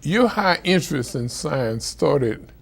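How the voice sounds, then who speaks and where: A woman conducting a casual interview, asking halting questions and giving frequent murmured acknowledgements. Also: low voice, animated, vocal fry, breathy edge.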